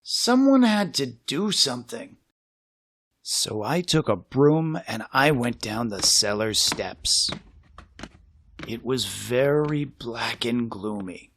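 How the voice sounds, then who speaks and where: A man reading a children's story aloud in an expressive, dramatic way with suspenseful pauses. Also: mid-range voice, slight background noise. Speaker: storybook reader.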